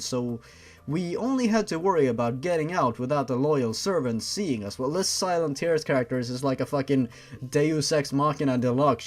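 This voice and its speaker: A man reading a story aloud like a sarcastic host, with an exasperated, mocking edge. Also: medium pitch. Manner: sarcastic, exasperated, profane asides.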